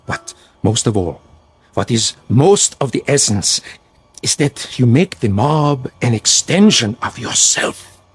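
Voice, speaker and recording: Sinister husky dictator, wildly swooping delivery. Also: male voice, mid-range voice, very animated, takes it slowly, heavily acted. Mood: instructive, impassioned. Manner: theatrical, insinuating, formal, commanding. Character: sinister dead dictator, coaxing a follower in demagoguery. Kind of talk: vintage-style radio drama acting.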